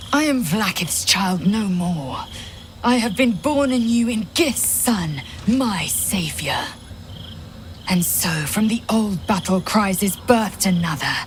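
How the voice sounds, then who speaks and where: A woman's voice: mid-range voice, a little hiss or hum. An actress playing a proud, intense young alien warrior woman in a fantasy game, solemn and formal, with music behind her. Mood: solemn, devout. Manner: solemn, formal, clipped declarations. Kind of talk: dramatic fantasy game acting.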